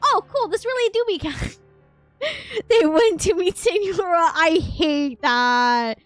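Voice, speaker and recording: A woman streamer reacting casually and playfully to a game, excitable and joking with her chat. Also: very high-pitched, slow pace, dry recording. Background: game music.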